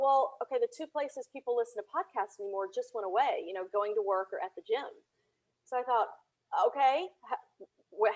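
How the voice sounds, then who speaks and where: A woman's voice: a little high, rough recording. A woman guest on a podcast, telling her story in a casual, informal interview style. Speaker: podcast guest, audio drama producer and writer.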